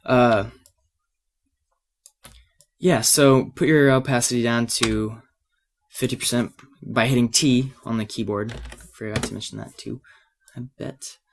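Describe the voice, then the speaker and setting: A man with a cold and a slightly raspy voice gives a casual, unscripted software tutorial, hesitating and thinking aloud as he works. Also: mid-range voice, steady tone, measured pace, slight background noise. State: has a cold. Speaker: tutorial presenter.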